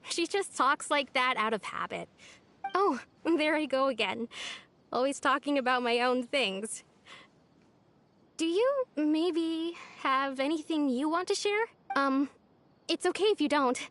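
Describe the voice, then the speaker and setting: An actress playing a shy, kind young woman in a video game, speaking gently and hesitantly. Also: high-pitched, expressive, unhurried. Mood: gentle. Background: game music.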